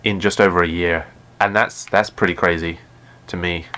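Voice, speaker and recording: A man with a deep voice chatting casually while playing a game live, often stumbling and repeating words. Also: fairly even intonation, normal speed, bright, forceful tone, a little hiss or hum. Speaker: gaming live streamer.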